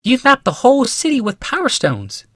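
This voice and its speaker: A man's voice: very high-pitched, huge pitch swings, normal speed, a bit bright, dry recording, studio quality, voice pushed up, full character voice. A man voicing a refined, impressed woman in audiobook dialogue, emphatic and full of wonder. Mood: amazed. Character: refined women, an impressed elder and an awed wife.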